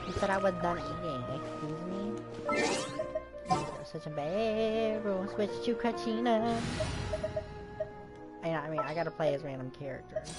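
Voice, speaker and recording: A woman's voice: on the low side, animated, a little slow, pauses often. A woman game streamer giving casual, colloquial commentary as she reacts to a story while playing. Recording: loud game music behind voice.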